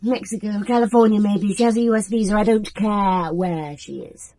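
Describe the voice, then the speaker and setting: A woman's voice: mid-range voice, steady tone, moderate pace, warm tone, natural voice. An actress playing a proud, prickly young woman in a radio drama, guarded and curt in her replies. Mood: defiant, curt. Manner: curt, defiant, short replies.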